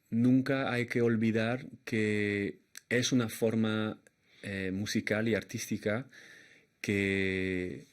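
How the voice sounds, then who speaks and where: A young man with a slight French accent, a jazz pianist answering interview questions in a hesitant way, often pausing and restarting as he looks for words. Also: lowish voice. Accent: slight French accent in Spanish. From Argentina and France.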